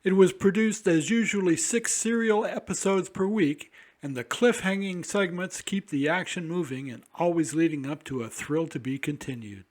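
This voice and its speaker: A man's voice: high-pitched, moderate pace, hardly any pauses. A man presenting a scripted introduction to an old radio show.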